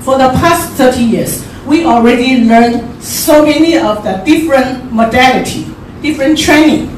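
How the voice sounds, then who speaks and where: A middle-aged woman with a Taiwanese accent giving an informal forum talk, haltingly, searching for words. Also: fairly high pitch. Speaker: forum speaker. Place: large echoey indoor room at a forum. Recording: very echoey room, distant mic, clear noise.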